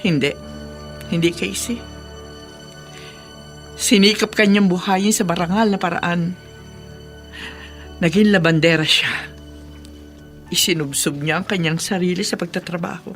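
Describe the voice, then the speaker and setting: An actress playing a weary older relative, telling a story solemnly and earnestly in a radio drama. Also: female voice, on the low side, steady tone, measured pace, long pauses, faint music behind. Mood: solemn, pleading. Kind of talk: Tagalog radio drama acting.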